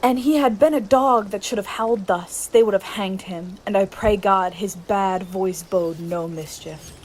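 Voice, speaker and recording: A woman's voice: mid-range voice, unhurried, a little mellow, lightly acted. A woman acting a sardonic, grumbling male soldier in an amateur Shakespearean radio play.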